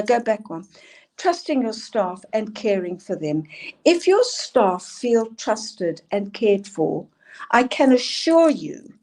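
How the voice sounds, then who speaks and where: South African woman, older, mellow-voiced speaker. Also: mid-range voice. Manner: earnest, emphatic, asks for next slides. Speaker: school leadership speaker. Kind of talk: presenting slides in a talk.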